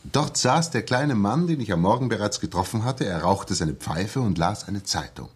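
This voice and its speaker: Earnest, husky-voiced ex-soldier. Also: male voice, lowish voice, lively intonation, moderate pace. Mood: earnest, suspenseful. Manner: earnest, hesitant, repeating words when agitated. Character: earnest, shaken young English ex-soldier telling his story. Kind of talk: 1960s German radio drama acting, dramatic narration.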